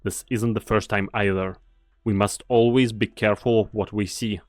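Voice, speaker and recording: A man in a fan dub of a game, playing a weary, guarded mercenary with a grave, measured, commanding delivery. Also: on the low side, fairly even intonation, a little mellow, soft background music, lightly acted. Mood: stern. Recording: long reverb on the voice.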